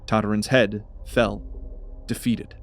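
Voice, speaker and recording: A man's voice: lowish voice, flat delivery, slow. A man narrating a fantasy audio drama as a scripted, dramatic story.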